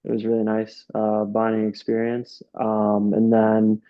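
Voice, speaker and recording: Low flat-voiced young man rambling. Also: unhurried, dull audio. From Rochester, New York. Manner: rambling, many restarts and fillers. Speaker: interview guest, soccer coach. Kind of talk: casual interview answers.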